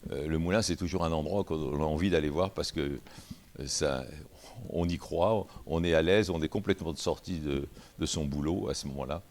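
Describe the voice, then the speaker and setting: Very deep-voiced man at a round table. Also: darkish tone. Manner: halting, with many restarts. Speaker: mill association speaker. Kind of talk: panel talk.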